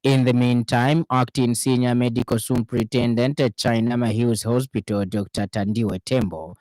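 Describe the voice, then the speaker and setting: Man, Zambian accent, radio news reader, formal scripted delivery, measured phrasing, mid-pitched voice, dry studio-like sound. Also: warm tone, dry recording, low-quality recording.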